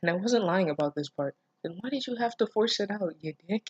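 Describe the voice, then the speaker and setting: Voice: mid-range voice, lively intonation, brisk, a little mellow, slightly rough, dry recording, dull audio. A person reading fan fiction aloud casually, breaking off with mocking, incredulous, sweary commentary. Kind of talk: casual reaction reading with sarcastic asides.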